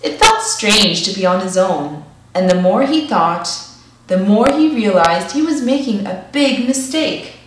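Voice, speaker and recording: A woman reading a children's picture book aloud, with expressive, deliberate storybook phrasing. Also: mid-range voice, noisy background. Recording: echoey room, distant microphone.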